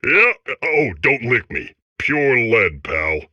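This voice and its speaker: A man's voice: medium pitch, big pitch swings, slow pace, pushed and bright, dry recording, highs cut off, exaggerated voice. A man voicing a boastful, dim, talking lead core in a video game, with slangy, blustering, rambling speech. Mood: grumbling boastful. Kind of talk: comedic video game character acting.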